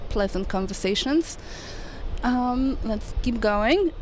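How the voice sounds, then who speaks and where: A woman's voice: a little high, lively intonation, slow, rough recording. A woman air traffic controller chatting on a livestream, friendly, laughing often and pronouncing every word carefully. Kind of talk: casual livestream chat. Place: outdoors near an airport.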